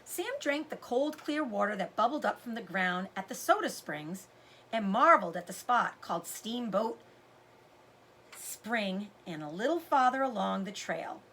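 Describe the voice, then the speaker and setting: A woman giving an expressive read-aloud narration of a children's novel. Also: mid-range voice, a little slow, breathy edge.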